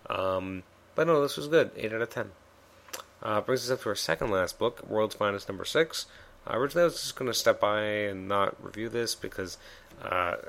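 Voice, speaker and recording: A man's voice: fairly low pitch, gravelly, a bit bright. A man hosting a solo comic review podcast, talking casually with many fillers and restarts, his voice a bit worn.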